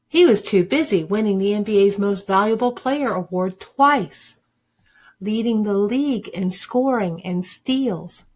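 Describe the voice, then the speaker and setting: A woman reading a children's book aloud like a teacher, with deliberate, emphatic phrasing for young listeners. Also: on the high side. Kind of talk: expressive storybook read-aloud.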